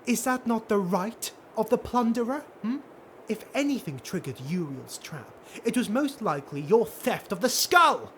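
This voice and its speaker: A man voicing a haughty, scheming female dark elf noble in a fantasy audiobook, imperious and taunting. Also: sits high, very animated, moderate pace, a little hiss or hum, voice pushed up.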